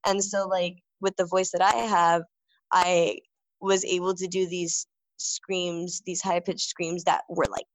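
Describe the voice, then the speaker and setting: A woman's voice: lowish voice, level tone, measured pace, no room sound, rough recording. A young woman vocalist answering interview questions in a casual, rambling way, full of fillers and restarts. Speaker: band vocalist.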